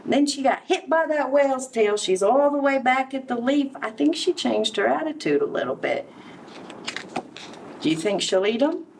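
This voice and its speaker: A woman reading a picture book aloud to young children, expressively, pausing to explain and ask questions. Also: high voice, expressive, creaky, a little mellow. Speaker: children's story reader, early education center director. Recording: distant mic with background noise.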